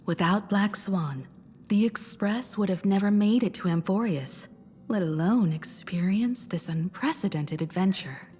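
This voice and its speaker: A woman's voice: medium pitch, a little slow, darkish tone, in a large hall, close to an everyday voice. A woman voicing a composed, motherly crew leader in an anime game, poised and articulate.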